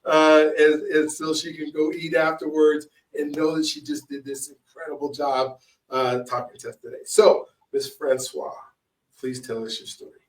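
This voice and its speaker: Distant-sounding, higher-voiced man hosting a podcast. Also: animated. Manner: halting, repetitive, drawn-out phrasing. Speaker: podcast host. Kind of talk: casual interview introduction.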